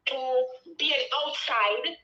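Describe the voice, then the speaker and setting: Bright-voiced Jamaican woman host. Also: high voice, extremely slow, echoey room, lo-fi sound. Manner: rambling, earnest, slips into patois. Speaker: live-stream host. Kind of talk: casual live-stream chat.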